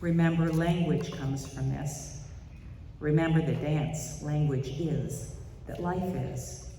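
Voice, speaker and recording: A service leader speaking to a congregation in a measured, deliberate way, giving announcements and readings. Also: medium pitch, dark tone. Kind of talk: church announcements and solemn poetry reading. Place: church sanctuary during a service. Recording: echoey hall, distant mic, faint noise.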